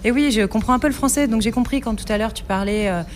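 A woman working as an interpreter, relaying a guest's answers quickly and conversationally. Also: a little high, darkish tone. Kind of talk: live interpretation.

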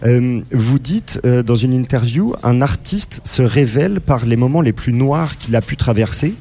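A man's voice: mid-range voice, lively intonation, a little slow, mellow, husky. A man who interviews a guest, reading an introduction and asking questions with many hesitations.

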